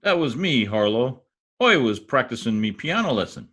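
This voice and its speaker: An actor playing a cocky, bumbling husband with folksy slang, in old-time radio comedy style. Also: male voice, medium pitch, very animated, normal speed, bright, forceful tone, full character voice. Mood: stubborn bluster. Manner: folksy slangy speech, blustering. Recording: husky-sounding video call recording with music.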